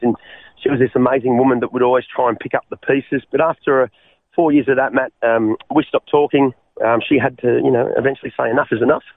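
A man's voice: mid-range voice, no room sound. A man in his forties with an Australian accent, a guest telling his life story over the phone in a rambling way, full of fillers and restarts. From Western Sydney, Australia. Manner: rambling, many fillers and restarts, calls the host mate. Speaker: guest sharing his testimony. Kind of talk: casual interview over the phone. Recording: tinny phone line, dry.

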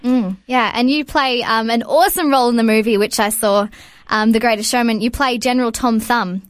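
A woman's voice: a little high, steady tone, a little fast, smooth, no echo. Chatty young woman co-host, dry sound. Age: 20s. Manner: chatty, long questions with many "um" fillers. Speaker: radio co-host. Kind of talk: casual interview chat.

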